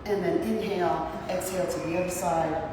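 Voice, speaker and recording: A woman in her sixties leads a workout in a low, husky voice, cheering the class on as she counts reps aloud. She sounds far from the mic in a large, echoing hall. Steady room noise hums behind her. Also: brisk. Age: 60s. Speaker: fitness instructor. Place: large gym.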